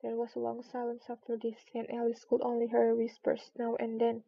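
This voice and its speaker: A woman narrating a storybook in an expressive read-aloud style. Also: on the high side, fairly quick, creaky. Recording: dull lo-fi recording.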